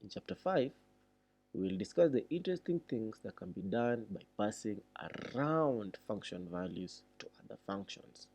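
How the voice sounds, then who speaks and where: A man giving a read-along programming tutorial, reading deliberately and thinking aloud as he explains. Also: on the low side, lively intonation, gravelly, a little mellow.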